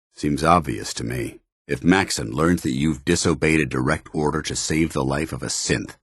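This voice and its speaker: An actor playing a stoic, honour-bound android soldier in a video game, tormented yet earnest, with formal military diction. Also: male voice, a bass voice, husky, dry recording, clean studio sound, exaggerated voice. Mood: hurt, bewildered. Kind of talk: video game companion dialogue acting.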